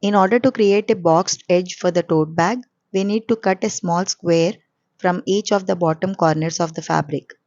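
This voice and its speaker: A woman hosting a sewing channel, explaining each step calmly in a voice-over, her voice coarse and hoarse from a cold. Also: on the low side, moderate pace, no room sound, dull audio.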